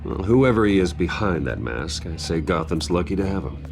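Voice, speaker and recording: Brooding man, very deep and gravelly. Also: lively intonation, normal speed, music in the background. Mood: measured. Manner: terse, restrained, serious. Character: guarded, brooding wealthy man with a private grudge. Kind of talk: video game voice acting.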